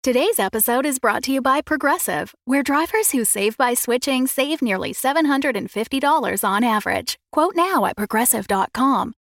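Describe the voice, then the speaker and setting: A woman's voice: high-pitched, expressive, moderate pace, few pauses, a bit bright, no echo, studio quality. A woman announcer reading a scripted advertisement and its disclaimer.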